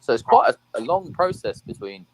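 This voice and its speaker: A man's voice: a little high, very animated, moderate pace, slight background noise, rough recording. A man with an English accent chatting on a casual panel, asking plenty of questions. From England. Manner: chatty, asks many questions. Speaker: guest on a live panel.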